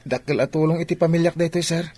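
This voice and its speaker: A man's voice: fairly high pitch, fairly even intonation, fast talker, mellow, close to an everyday voice. A man playing an eager, respectful young athlete and devoted son in an Ilocano radio drama. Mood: earnest. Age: young adult.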